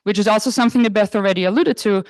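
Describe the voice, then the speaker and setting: A young woman from Croatia, a postdoc, giving a conference lecture in a relaxed, casual manner with frequent fillers. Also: mid-range voice, very fast, no echo. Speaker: astronomy lecturer. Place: lecture hall.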